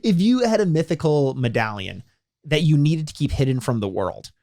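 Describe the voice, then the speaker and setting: A man's voice: on the high side, sing-song, moderate pace, darkish tone. Man riffing casually as a podcast co-host. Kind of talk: casual comedic movie recap chat.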